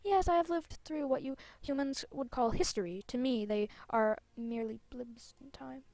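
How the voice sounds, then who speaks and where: A woman's voice: high voice, normal speed, a little mellow, no room sound. Game role: aloof ancient tree spirit, solemn, cryptic, weary, read by a woman.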